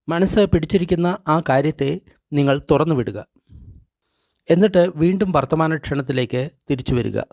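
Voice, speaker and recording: Male meditation guide, slightly high voice, gentle deliberate phrasing, dry close recording. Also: dry recording. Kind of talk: guided meditation narration, translated text read aloud.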